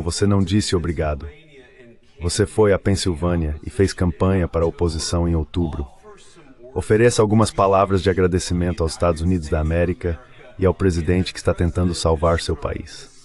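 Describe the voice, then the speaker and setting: A man voices a translation of a political debate. His voice is clearly deep, well below the usual male pitch. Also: steady tone, a little fast, a little mellow. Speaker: voice-over translator.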